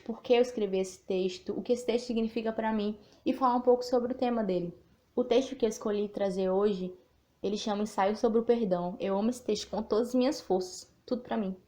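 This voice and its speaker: A woman's voice: fairly high pitch, dark tone. A woman from Northeast Brazil with a Sergipe accent, hosting a podcast, chatting in an informal, reflective way and reading aloud a text she wrote. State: a little nervous. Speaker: podcast host and writer. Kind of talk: podcast monologue with reading of her own text.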